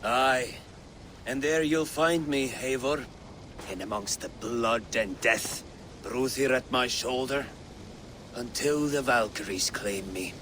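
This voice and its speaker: A man's voice: medium pitch, slow, close to an everyday voice. A man playing a fierce, battle-worn Viking warrior haunted by loss in a video game, speaking with intensity. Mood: haunted, brooding. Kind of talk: dramatic video game acting. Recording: battle and ambient noise behind.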